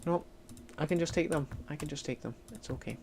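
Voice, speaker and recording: A man with a fairly high voice plays a strategy game and talks it through to himself. He jumps from loud exclamations to quiet mutters, with faint keyboard clicking underneath. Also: fairly quick, gravelly, a little hiss or hum. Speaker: gaming streamer. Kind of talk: casual let's-play commentary.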